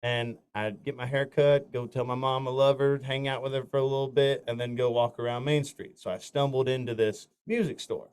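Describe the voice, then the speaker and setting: A man from north Florida tells stories at an easy, conversational pitch. His voice is smooth and resonant. He rambles through anecdotes, restarting phrases and dropping in plenty of "um"s and "you know"s. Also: fairly even intonation, fairly quick, hardly any pauses, a little mellow. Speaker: country singer, interview guest. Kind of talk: casual storytelling interview.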